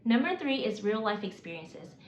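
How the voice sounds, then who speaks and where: A woman in her forties, raised in Mississippi, working through a prepared list of reasons in an earnest, measured way with occasional restarts. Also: medium pitch, very animated. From South Korea, raised in Mississippi. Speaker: homeschooling mom. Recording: roomy, slightly dull, faint hiss.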